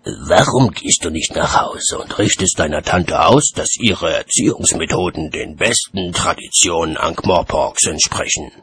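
A man playing a grumpy, sardonic, weary city watchman in an audiobook story, gruff and dry in manner. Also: sits low, measured pace, hardly any pauses, fairly bright tone, a little hiss or hum. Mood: dry, awkward.

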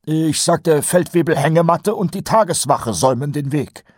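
An anxious sergeant, eager and dutiful, always keen to please his superior, as a male narrator puts him on. The voice is husky and pitched well above the reader's own. Dry storybook recording with no room sound. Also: lively intonation, moderate pace, dry recording. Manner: eager, higher-pitched, a bit worried.

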